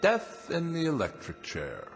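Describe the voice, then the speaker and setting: An actor playing a weary, defiant convicted murderer in a radio drama, brooding and deliberate. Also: male voice, fairly high pitch, huge pitch swings, exaggerated voice. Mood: smug defiance. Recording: music under the voice.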